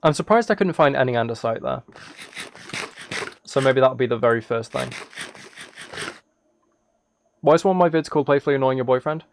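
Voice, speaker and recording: A man's voice: medium pitch, animated, a little fast, gravelly, pauses often, a little hiss or hum. A man chatting casually on a livestream as he plays a game, rambling and thinking aloud. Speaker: live streamer. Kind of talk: casual livestream chat while gaming, reading chat.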